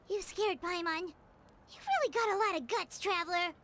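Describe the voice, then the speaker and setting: A woman voicing a tiny, excitable, loyal fairy girl companion in a video game, squeaky and childlike. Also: slow pace, exaggerated voice. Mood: relieved, excitable. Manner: refers to self in third person, exclamatory. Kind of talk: scripted video game voice acting. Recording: game audio with background music.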